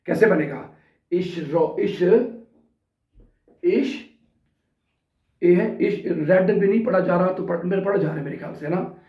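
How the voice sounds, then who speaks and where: A man with a sore throat teaching a language lesson in a casual way, repeating example sentences word by word. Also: sits high. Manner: slow and repetitive, spelling out example sentences word by word. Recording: distant, roomy, dull sound.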